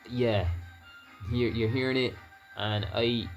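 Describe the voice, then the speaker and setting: A man with a Barbadian accent talks through his gameplay in a loose, rambling way, stumbling over words and circling back on himself. His voice sits at an ordinary middle pitch. Quiet game music plays faintly behind him. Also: fairly even intonation, slow. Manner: rambling, restarts words, laughs often. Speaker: gaming YouTuber. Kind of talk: casual gameplay commentary.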